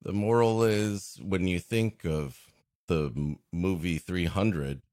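A man with a fairly low voice, recorded dry and close. He co-hosts a podcast in a joking, riffing style of comic banter. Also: takes it slowly, a little mellow, no room sound. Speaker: podcast co-host.

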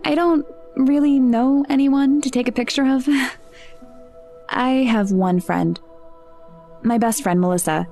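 A woman's voice: high-pitched, takes it slowly, long pauses, dark tone, soft background music. A woman playing an anxious, lonely seventeen-year-old girl in a naturalistic audio drama, speaking hesitantly and self-deprecatingly. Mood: wistful, resigned.

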